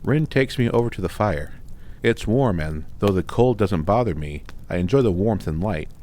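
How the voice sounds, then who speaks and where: Very deep-voiced man narrating fantasy. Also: lively intonation, a little mellow. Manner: measured, dramatic, short deliberate phrases. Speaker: audiobook narrator. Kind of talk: scripted first-person fantasy story narration.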